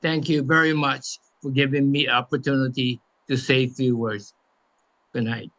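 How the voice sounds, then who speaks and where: A man in his eighties from the United States giving a halting memorial speech in short phrases as a guest speaker. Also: medium pitch, fairly even intonation, fairly bright tone, poor audio. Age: 80s.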